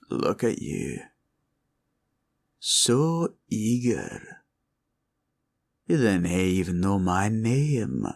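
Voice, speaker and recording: A man playing a proud, cunning green dragon in a villain roleplay audio, with a taunting drawl and a gravelly growl. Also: low-pitched, full character voice. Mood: taunting, teasing. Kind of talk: roleplay ASMR villain monologue.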